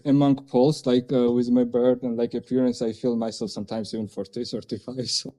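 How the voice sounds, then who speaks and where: A man's voice: mid-range voice, flat delivery, fairly quick, warm tone. A man in his twenties from Georgia, speaking hesitantly with many fillers in a street interview. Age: 20s. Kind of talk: casual chat.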